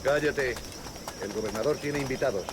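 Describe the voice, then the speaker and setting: A man playing a gruff, suspicious colonial guard in a video game. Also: mid-range voice, fairly quick, very noisy, lightly acted. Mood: gruff, wary. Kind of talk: video game dialogue acting. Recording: loud outdoor ambience with birds.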